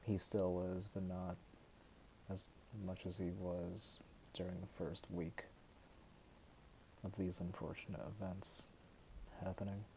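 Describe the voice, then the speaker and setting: A young man in his twenties from the United States, talking hesitantly and softly to the camera at home late at night. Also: low-pitched, little pitch movement, vocal fry, slight background noise, poor audio. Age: 20s. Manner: hesitant, halting and soft-spoken. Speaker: vlogger. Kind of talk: personal talk to camera.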